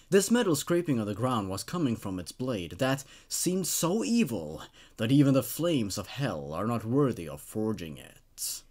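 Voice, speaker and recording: A man in his twenties reading a horror story aloud, dramatically and with deliberate pauses for suspense. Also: medium pitch, a little mellow. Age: 20s. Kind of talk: dramatic horror story narration with brief casual comments.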